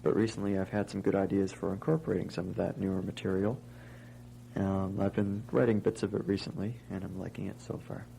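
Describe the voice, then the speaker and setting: A man speaks in a low, dark voice with plenty of fry. His delivery is flat and understated, like informal commentary on his own work. Faint noise runs underneath. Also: fairly quick, low-quality recording. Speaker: amateur zine writer.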